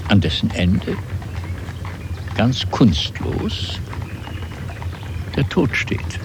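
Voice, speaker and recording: A reflective, sombre narrator of literary memoirs. His voice is deep and moves expressively through its range, with deliberate dramatic pauses. Noticeable background noise runs behind the radio recording. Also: sing-song, full character voice. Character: storyteller reciting memoirs, sombre, wry, reflective.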